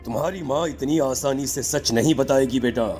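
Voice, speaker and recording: A man's voice: medium pitch, normal speed, a little mellow, soft background music, natural voice. A man playing a stern, commanding tantric holy man in a dramatic cartoon horror story, solemn and accusing.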